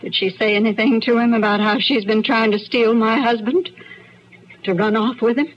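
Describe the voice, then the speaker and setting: Woman playing firm, folksy rural American farm wife for radio western; high register, dull vintage recording. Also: steady tone, normal speed, natural voice. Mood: composed, proud. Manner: plain-spoken, folksy, firm. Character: proud, strong-minded frontier farm wife fighting for her husband. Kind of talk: old-time radio western acting. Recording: muffled old radio broadcast, faint noise.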